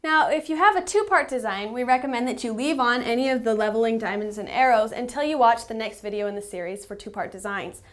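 A young adult woman presenting a step-by-step how-to tutorial in a measured, instructional manner. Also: a little high.

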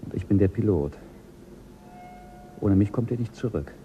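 A man's voice: fairly low pitch, expressive, moderate pace, warm tone, slight background noise, natural voice. An actor playing Jell, the stern male pilot of a spaceship, in a German radio play, talking in a firm, commanding and threatening way.

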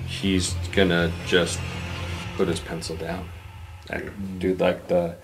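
Male player: deep voice, casual roleplay narration, clear music bed. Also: flat delivery, slow, low-quality recording. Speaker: tabletop game player. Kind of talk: casual description of character's actions. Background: background music.

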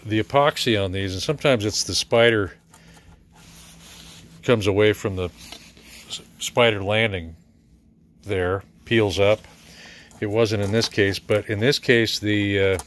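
A middle-aged American man giving a casual, halting, matter-of-fact walkthrough as a repair technician, filming in his workshop. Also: fairly low pitch, a bit bright. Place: cluttered indoor repair workshop. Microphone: handheld camera or phone mic. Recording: faint background noise.

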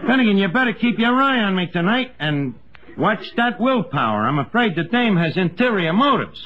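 Animated New wisecracking tavern manager. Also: male voice, high-pitched, normal speed. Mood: self-important. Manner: mangles words pompously. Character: scheming, vain, wisecracking male tavern manager. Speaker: lead actor in a radio sitcom. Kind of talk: 1950s radio comedy acting. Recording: noisy, dull 1950s radio recording.

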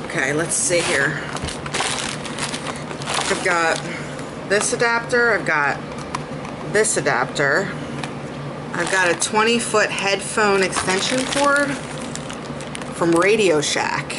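A woman in her thirties chatting casually at home as she sorts cables and gets ready to rehearse, thinking aloud as she goes. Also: fairly low pitch. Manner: chatty, thinking aloud while sorting gear. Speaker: beauty and music vlogger. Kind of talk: casual vlog chat. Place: small home kitchen, then a home office. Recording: audible background noise, rain and thunder outside.

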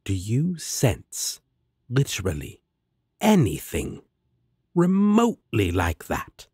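A man's voice: on the low side, wildly swooping pitch, slow, darkish tone, husky, dry recording, studio quality, full character voice. A man voicing a sardonic, exasperated but protective demon roommate in a fantasy audio roleplay, blunt and sarcastic. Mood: challenging.

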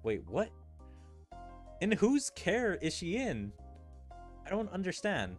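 A man's voice: sits high, wildly swooping pitch, takes it slowly, faint music behind. A man gaming streamer giving casual, rambling playthrough commentary, thinking aloud in a puzzled way.

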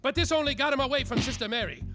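A man's voice: extremely high pitch, rapid-fire, over music. A man playing a world-weary, bumbling private detective, melodramatic and self-important, in a noir parody radio play. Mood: heated.

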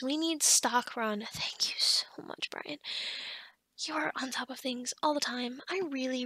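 A woman acts out a bubbly, kindly female office boss with a high, bright voice. She rambles cheerfully and encouragingly in a casual ASMR roleplay, a little scatterbrained. The sound is close and dry. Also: no echo, full character voice. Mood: busy, grateful. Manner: chatty, encouraging, rambling with soft laughs. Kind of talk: ASMR roleplay monologue, casual pep talk.

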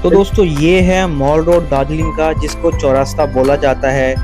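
A man's voice: fairly high pitch, fairly even intonation, moderate pace, over music. A man narrating a travel video voice-over in an informative tour-guide manner, addressing viewers as friends.

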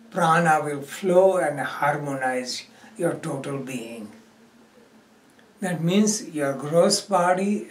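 A man teaches guided meditation at an extremely slow pace. He speaks instructively in short phrases separated by pauses. The recording is echoey, with the microphone some distance away. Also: a little high. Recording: roomy echo, distant microphone.

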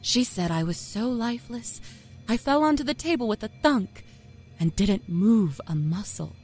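Wry, animated small-town Southern storyteller. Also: female voice, on the high side, normal speed, slightly rough, faint music behind. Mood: wry, storytelling. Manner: wry, folksy, confiding storyteller. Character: sly small-town Southern woman, a self-confessed professional liar. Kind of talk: dramatic first-person horror story narration. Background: musical score.

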